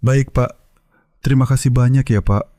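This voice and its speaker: A man voicing a humble middle-aged satay seller in an animated cartoon, polite and deferential. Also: medium pitch, moderate pace, no room sound, clean studio sound, close to an everyday voice.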